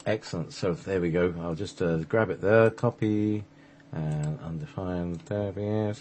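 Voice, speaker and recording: A man with a fairly low voice hosts a radio show. He talks casually, in the manner of someone wrapping up a program for listeners. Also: unhurried, darkish tone.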